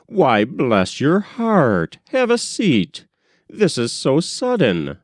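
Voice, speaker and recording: A man's voice: a little high, wildly swooping pitch, takes it slowly, dry recording, studio quality, voice pushed up, full character voice. A man voicing a genial, blustery older businessman in a storybook, hearty and old-fashioned. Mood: genial surprise.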